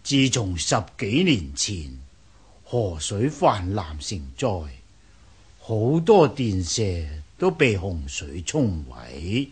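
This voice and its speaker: An actor playing a courteous old Buddhist abbot in a radio drama, with a husky voice, measured dignity and a Hong Kong Cantonese accent. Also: male voice, lowish voice, very animated, full character voice. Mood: calm, courteous. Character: courteous old Buddhist monk, head of a temple.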